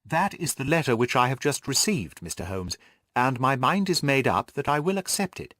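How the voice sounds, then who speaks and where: A man's voice: mid-range voice, big pitch swings, normal speed, a bit bright, no echo. A man voicing an earnest, anxious young Victorian governess who tells her story articulately in an audiobook. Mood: resolved, inquiring.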